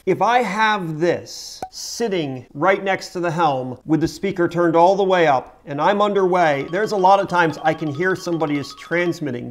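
A middle-aged man narrating an explanatory vlog as its host, in a plain-spoken, emphatic way. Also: on the high side. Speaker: host of a boating channel.

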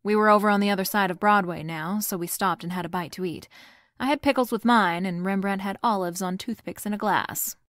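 An actress plays a female private detective from a noir radio drama. She is brisk, bossy and quick with a wisecrack. Her voice sits at a middle pitch in a very dry recording. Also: fairly bright tone, no echo, close to an everyday voice. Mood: wry, narrating.

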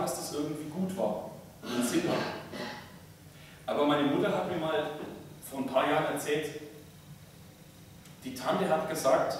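Echoey male pastor preaching from afar. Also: fairly high pitch. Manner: measured, deliberate, pausing between short phrases. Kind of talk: sermon. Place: large church interior, speaking from a pulpit. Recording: echoey church, distant mic, faint noise.

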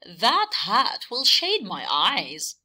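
A woman voicing an eager, choosy male horse in a children's storybook, with drawn-out, expressive delivery. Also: on the high side, full character voice. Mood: wistful.

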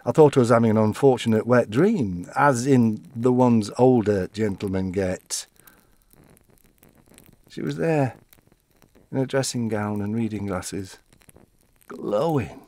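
An actor playing a gentle, wistful, fussily defensive elderly widower in an audio-play monologue, telling his story in a reflective, halting way. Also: fairly low pitch, no echo, lightly acted.

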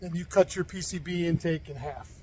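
A man with a fairly high but mellow, warm voice talks in a relaxed, rambling way, full of restarts and fillers. He hosts a fishing show outdoors by a lake and moves easily between chatting and showing how to clean a fish. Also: brisk, husky. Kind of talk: casual outdoor chat and demonstration. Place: lakeside outdoors.

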